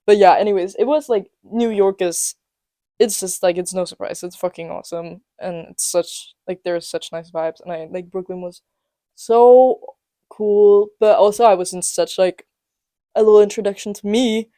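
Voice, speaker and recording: Late-teen male podcast co-host, teasing and jokey, mellow tone, dry close sound. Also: high voice, moderate pace, no echo. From England and Denmark. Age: late teens. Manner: joking, teasing, lots of fillers. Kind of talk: casual chat. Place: student apartment.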